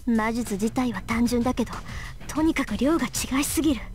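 A woman's voice: on the high side, rapid-fire, a little mellow, lightly acted. A woman voicing a proud, frustrated young mage in a Japanese visual novel, blunt and grumbling. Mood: frustrated, cornered.

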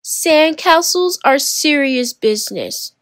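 A woman's voice: high voice, animated, slow pace, fairly bright tone, no room sound, studio quality, pitched above the performer's own voice, exaggerated voice. A woman voicing a confident, bossy young boy in a children's storybook, with a self-important, emphatic manner. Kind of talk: children's storybook read-aloud character.

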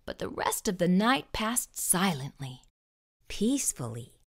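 A woman reading a children's storybook aloud expressively, with deliberate, dramatic pauses. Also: lowish voice, big pitch swings. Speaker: storytime host and narrator.

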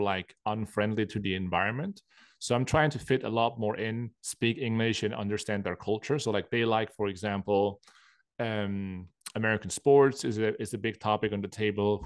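A man speaks in a lowish voice, recorded dry and close. He talks as a podcast guest, casual in tone. His advice comes out direct and assertive. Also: no echo. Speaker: podcast guest, poker player. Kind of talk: casual interview talk.

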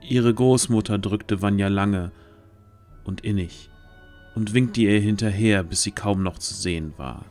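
A man's voice: on the low side, unhurried, darkish tone, faint music behind. A man narrating a scripted fantasy audio drama as its storyteller.

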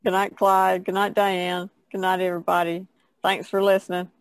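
A woman co-hosts a podcast in casual chat. Her voice is creaky and middling in pitch, recorded dry with little room sound. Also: flat delivery, moderate pace, no room sound, poor audio. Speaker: podcast co-host.

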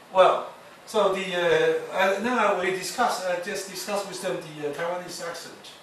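Halting man lecturing in an echoey hall. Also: high-pitched, a bit bright. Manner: halting, many fillers and restarts. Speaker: university lecturer. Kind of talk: classroom lecture. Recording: echoey lecture hall, distant mic, noisy.

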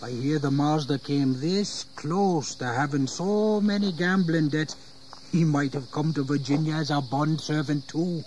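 Man as slow-talking, grumbling indentured servant. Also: on the high side, darkish tone, a little hiss or hum, close to an everyday voice, low-quality recording. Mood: bitter, weary. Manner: rustic, deferential yet grumbling. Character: bitter English indentured servant, working-class father, grumbling. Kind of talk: dramatized audio history acting. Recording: 1980s audio drama, insect ambience.

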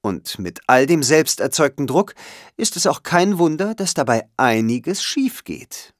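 A man's voice: fairly high pitch, big pitch swings, a little slow, no room sound. A man narrating a scripted children's audio play. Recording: music under the voice. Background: music.

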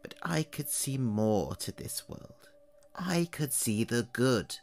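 A man voicing an old witch who complains about her neighbors at a slow, chatty pace. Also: mid-range voice, lively intonation, a little hiss or hum. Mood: brooding. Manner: slow, chatty complaining monologue rising to anger. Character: old witch woman, wary, aggrieved, self-pitying. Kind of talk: audio horror story reading.

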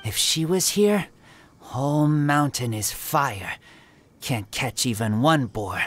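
A woman voicing a feral teenage boy raised by wolves in an anime video game, wary and earnest, in halting, broken phrases. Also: extremely low pitch, animated, a little hiss or hum, exaggerated voice. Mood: resigned.

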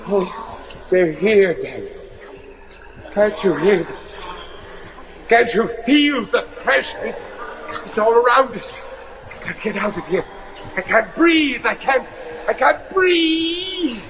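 An actor playing a haunted, panicking older judge in a gothic radio drama, breathless and repeating himself. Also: male voice, sits high, very animated, slow pace, long pauses, heavily acted. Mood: frantic, haunted. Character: haunted, panicking elderly judge hearing a ghostly girl. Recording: echoey, noisy radio drama recording.

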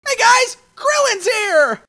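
An actor voicing Krillin, an excitable, whiny high-pitched cartoon fighter shouting parody anime lines in a game. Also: takes it slowly, full character voice. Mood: excited, relieved. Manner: shouting, exclamatory.

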